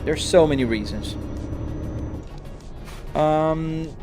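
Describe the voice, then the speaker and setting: A man from Brazil, his accent a blend of Brazilian and American, with a slightly high voice, casually answering a live chat from a home driving rig. He talks loosely and restarts his sentences as comments roll in. Soft music hums behind him. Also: very slow. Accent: Brazilian Portuguese mixed with American English. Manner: chatty, answers viewer comments on the fly, frequent restarts. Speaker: live streamer.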